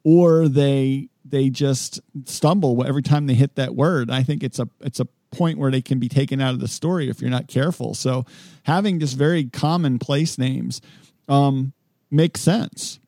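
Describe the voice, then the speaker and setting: A man's voice: medium pitch, moderate pace, mellow, dry recording, studio quality. A man hosting a podcast, chatting casually in a conversational, self-deprecating way. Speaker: podcast host. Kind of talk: casual interview chat.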